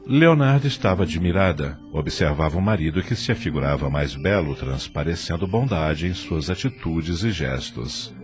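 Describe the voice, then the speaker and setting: A Brazilian man narrating a scripted audio drama in a measured, formal manner. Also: low voice, lively intonation, music in the background.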